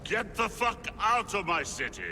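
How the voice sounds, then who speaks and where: An actor playing a brutal, vulgar crime lord in a video game, with a sneering, threatening delivery. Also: male voice, high voice, big pitch swings, moderate pace, slight background noise, heavily acted. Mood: vengeful rage. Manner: sneering, foul-mouthed, threatening. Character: brutal, vulgar, menacing male crime lord of an ancient city. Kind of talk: video game cutscene acting. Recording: long reverb, loud music behind.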